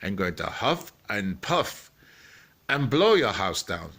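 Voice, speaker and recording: A man's voice: fairly low pitch, very animated, slow, fairly bright tone, voice pushed down, exaggerated voice. A man voicing a big bad wolf, a hungry and menacing storybook villain who draws out his taunting threats. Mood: threatening.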